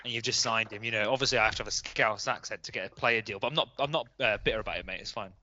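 A man's voice: fairly low pitch, rapid-fire, sharp tone, lo-fi sound, slight background noise. A man chatting casually and in a blokey way as he hosts a podcast among friends. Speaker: host of a gaming league podcast.

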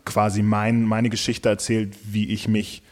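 Ein Mann Ende zwanzig, der im Interview nachdenklich über sein Musikalbum spricht, mit vielen Füllwörtern und Neuansätzen. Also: male voice, on the low side, completely flat delivery, a little slow, a little mellow. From Germany. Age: late 20s. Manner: thoughtful, many fillers and restarts. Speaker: musician guest. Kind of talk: casual interview talk.

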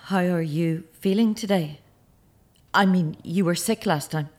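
A woman's voice: fairly low pitch, a little slow, a little mellow. An actress playing a grieving, stubborn middle-aged mother in a naturalistic radio drama, guarded and cutting. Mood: awkward, strained.

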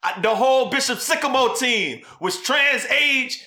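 A man's voice: very high-pitched, animated, a little slow, bright, forceful tone. A man co-hosting a podcast, talking in a slangy, blunt, emphatic way as he gives casual commentary. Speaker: podcast co-host.

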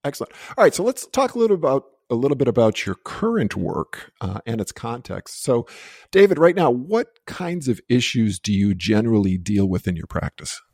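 A man's voice: medium pitch, sing-song, moderate pace, darkish tone, husky. A man hosting a podcast and asking his guest curious questions. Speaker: podcast host. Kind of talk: podcast interview.

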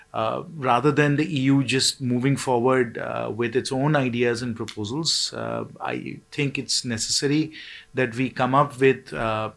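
A man's voice: medium pitch, vocal fry, fairly bright tone. A man speaking as a panelist in a discussion, formal and policy-minded, with frequent hesitation fillers.

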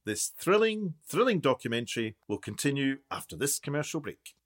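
An actor playing a self-important, easily baffled documentary maker in a mock-documentary radio play. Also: male voice, mid-range voice, sing-song, a little fast, heavy background noise, lightly acted. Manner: pompous, showy. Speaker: documentary presenter.

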